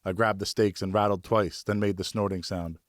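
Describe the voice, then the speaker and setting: Male narrator for fiction: lowish pitch, measured dramatic storytelling, dry studio-style sound. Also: fairly even intonation, dry recording. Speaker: audiobook narrator.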